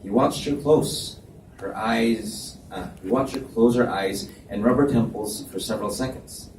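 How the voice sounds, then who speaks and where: A man's voice: lowish voice, unhurried, darkish tone. A man narrating a scripted romance story at a live reading. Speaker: reader, narrator. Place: live reading in an echoey indoor room. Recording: echoey room, distant mic, background noise.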